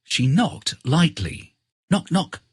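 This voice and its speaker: A man reading a scripted children's fairy tale as an expressive storyteller. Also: fairly high pitch, takes it slowly, breathy edge, no echo, clean studio sound.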